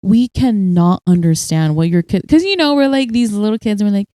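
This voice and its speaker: A young woman co-hosting a casual chat podcast, telling stories in an animated way and acting out what people said. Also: mid-range voice, a little fast, no echo, studio quality. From United States. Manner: storytelling, animated, acts out quotes. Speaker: podcast co-host.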